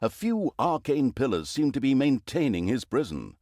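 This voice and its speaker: A pompous, sarcastic omniscient narrator of a dark comic fantasy game. His pitch swings dramatically through theatrical storytelling and snide, dry commentary. The sound is close and dry, with no room around it. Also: sing-song, moderate pace, no echo. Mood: wry, theatrical. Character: sardonic male omniscient narrator of an evil overlord fantasy game.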